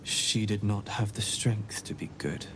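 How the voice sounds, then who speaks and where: An actor voicing a menacing young alien boy in a video game, zealous and wounded, speaking in a cold, moralizing, deliberate way. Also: lowish voice, background noise, natural voice.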